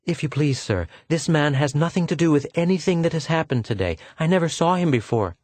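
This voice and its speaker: A man's voice: a little high, lively intonation, fairly quick, a little mellow, dry recording, voice pushed up. A man voicing a meek young woman who pleads anxiously in an audiobook. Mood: pleading. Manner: timid, pleading.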